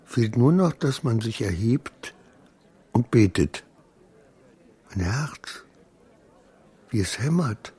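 An actor playing an anxious, paranoid clerk losing his mind in a radio play, halting and often dropping to a whisper. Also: medium pitch, lively intonation, a little mellow, husky, full character voice. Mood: uneasy wonder, then faint, panicking. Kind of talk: literary radio play acting.

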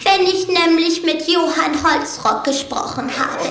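Eager, very high-voiced vampire child. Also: female voice, a little slow, heavy background noise. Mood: insistent, eager. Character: eager, determined vampire child pleading with the family. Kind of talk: German audio drama acting for children. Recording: echoey crypt-like reverb.

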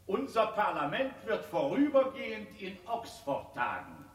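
An actor playing a pompous politician offering calm assurances in a declamatory German radio play speech, echoing and distant. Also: male voice, high-pitched, unhurried, full character voice. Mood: pompous, reassuring. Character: pompous politician calming his political friends. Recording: echoey, distant from the microphone.

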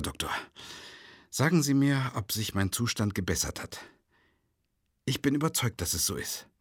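An actor playing an unhinged psychiatric patient in a radio play monologue, theatrical and rambling, with a husky voice. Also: male voice, lowish voice, a bit bright. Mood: pleading. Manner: theatrical, rambling, swinging from musing to agitated. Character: unhinged male psychiatric patient confessing to his doctor.

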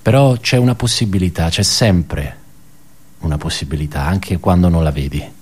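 Radio drama monologue: world-weary, ironic office worker, low-pitched, conversational and self-correcting. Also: male voice, moderate pace, a little mellow, slightly rough. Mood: wistful, resigned. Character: wry, bitter male office worker recounting colleagues' suicides. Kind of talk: theatrical radio monologue, reflective storytelling.

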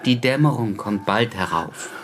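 An actor playing a calm, solicitous attendant, speaking courteously and reassuringly in a radio drama. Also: male voice, on the low side, measured pace, slight background noise. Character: calm, solicitous male attendant of an old professor. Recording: music underneath. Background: music.

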